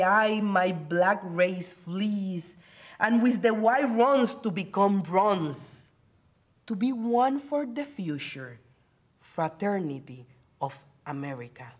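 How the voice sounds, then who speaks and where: A Puerto Rican woman in her forties, a native Spanish speaker, recites verse with dramatic emphasis. Her medium-pitched voice moves slowly, with deliberate pauses between phrases. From Puerto Rico. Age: 40s. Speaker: bilingual special education teacher.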